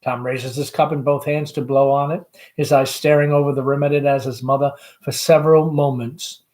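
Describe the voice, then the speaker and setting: This is a narrator reading the stage directions of a play aloud. The delivery is measured and deliberate. It stays expressive at a medium pitch. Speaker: school principal reading aloud.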